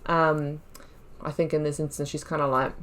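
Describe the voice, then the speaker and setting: Casting: chatty woman, gaming YouTuber, lowish voice, thinks aloud. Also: little pitch movement, normal speed. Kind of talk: casual commentary on a game playthrough.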